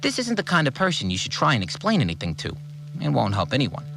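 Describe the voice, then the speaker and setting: A man's voice: medium pitch, huge pitch swings, fast talker, vocal fry, over music, poor audio. An actor playing an irritable, controlling cleaner who tells his story impatiently in short, contemptuous sentences, as a first-person audio drama monologue. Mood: exasperated, stern. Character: irritable, controlling male cleaner in a strange sealed community.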